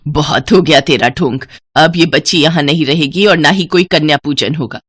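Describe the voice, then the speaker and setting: A woman's voice: sits low, normal speed, a little hiss or hum, natural voice. A woman voicing a cruel, scornful older mother-in-law, harsh and taunting, in a family morality story. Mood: angry.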